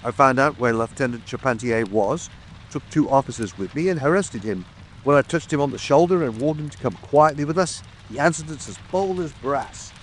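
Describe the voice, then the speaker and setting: A man's voice: medium pitch, lively intonation, moderate pace, slight background noise, close to an everyday voice, low-quality recording. A man playing a self-satisfied, officious police detective, formal and methodical, in a dramatic audiobook. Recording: faint rain ambience behind.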